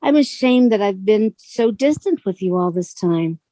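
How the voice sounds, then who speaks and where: An actress playing a gentle, lonely bookshop woman, earnest and shyly admiring, in a radio suspense drama. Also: medium pitch, moderate pace, dry recording, natural voice. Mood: wistful, tender. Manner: soft-spoken, earnest, flattering.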